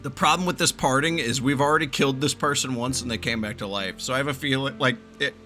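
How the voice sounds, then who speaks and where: A man's voice: medium pitch, fast, sharp tone, soft background music. A man giving casual, sweary, joking reaction commentary while playing a game. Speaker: game streamer reacting to cutscenes.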